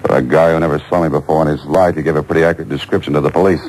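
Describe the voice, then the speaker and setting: A man's voice: rumbling low, fairly even intonation, rapid-fire, a little mellow. An American actor playing a coolly menacing, wry and taunting man on the run in an old-time radio drama. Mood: cool menace. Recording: noisy old radio show taped off air.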